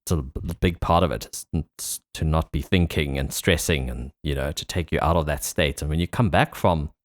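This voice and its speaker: A man's voice: rumbling low, brisk, no echo, studio quality. A man hosting a podcast in casual interview chat, attentive and agreeable. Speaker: podcast host.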